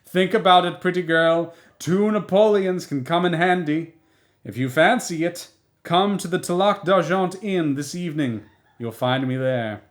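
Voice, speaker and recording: A man voicing a brash traveling quack dentist who shouts to a crowd, in a read-aloud story. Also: a little high, measured pace, pitched above the performer's own voice, close to an everyday voice. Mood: brash calling.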